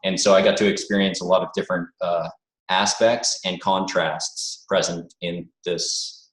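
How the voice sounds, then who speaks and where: A man's voice: sits low, fairly even intonation, moderate pace, fairly bright tone, reverberant, poor audio. A man speaking as an interview guest over a video call, thoughtful and hesitant, with many filler words. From born in the United States, raised abroad. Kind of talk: reflective conversational interview.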